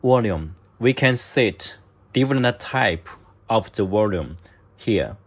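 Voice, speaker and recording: A man narrating step-by-step instructions in halting, short clipped phrases, as a product demonstrator. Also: on the low side, expressive. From China.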